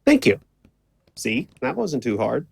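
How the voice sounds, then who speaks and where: Excitable, expressive young male adventurer played by an actor. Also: mid-range voice, unhurried, gravelly, slightly rough, no echo, heavily acted. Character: impulsive, sarcastic young adventurer. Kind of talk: audio drama acting.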